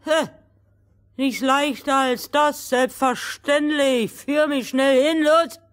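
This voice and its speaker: A woman's voice: high voice, steady tone, slow pace, pitched above the performer's own voice. A woman voicing a parched, grumpy, boastful man in a fairy tale, blustering and groaning impatiently. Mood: eager, boastful. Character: parched, grumpy, boastful thirsty man, really a magical little man.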